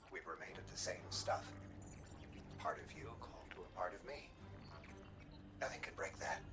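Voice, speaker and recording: An actor playing a loyal, gentle machine companion in a video game, tender and steadfast in his reassurance. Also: fairly low pitch, close to an everyday voice. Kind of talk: video game cutscene acting. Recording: distant voice under music.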